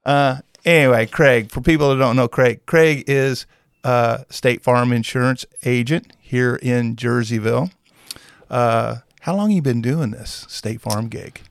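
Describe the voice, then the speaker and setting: A man's voice: medium pitch, measured pace, creaky, clean tone, no room sound. A man, hosting a casual local radio interview in a folksy, teasing way. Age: 60s. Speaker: radio show host. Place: small radio studio.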